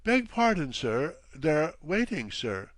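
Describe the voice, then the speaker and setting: An impassive butler, acted by a male reader, deferential yet frigid. The words are clipped and formal, set at a raised pitch in a dry, close storybook recording. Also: sing-song, slow pace, fairly bright tone, dry recording, heavily acted.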